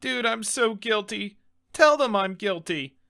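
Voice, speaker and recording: A man voicing a panicky, excitable young male defendant in a game script, whiny and melodramatic. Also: high-pitched, a little slow, vocal fry, pitched above the performer's own voice, exaggerated voice. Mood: despairing wailing.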